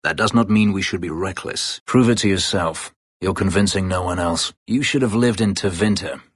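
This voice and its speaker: A man playing a brooding, bitter elf warrior and escaped slave in a video game, terse, grave and sardonic, with a gravelly, husky voice. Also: sits low, moderate pace, dry recording. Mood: stern. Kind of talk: video game character voice lines.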